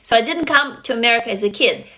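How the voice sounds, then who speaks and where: A woman's voice: fairly high pitch, lively intonation, fast talker, sharp tone, slight background noise. A young woman from Russia, whose first language is Russian, hosting a livestream and talking in an earnest, blunt way, swearing casually and reading viewer comments. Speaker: livestream host.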